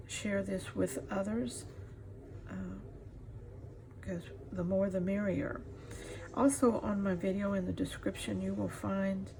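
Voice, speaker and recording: A middle-aged woman giving a casual craft demonstration as she works, pausing often to think. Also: medium pitch. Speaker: craft tutorial host. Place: indoors at a craft table. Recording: clear background noise, room ambience.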